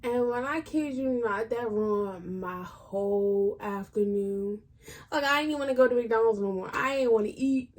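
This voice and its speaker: A woman's voice: a little high, moderate pace. A woman vlogger telling a personal story in casual, colloquial speech, acting out what people said. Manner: informal, colloquial phrasing.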